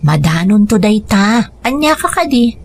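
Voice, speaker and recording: An actress playing a gentle, patient mother and wife in a radio drama, speaking with a Filipino accent. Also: medium pitch, very animated, a little slow, slight background noise. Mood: warm, domestic. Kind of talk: radio drama acting in domestic scenes. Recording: music bed behind radio drama dialogue.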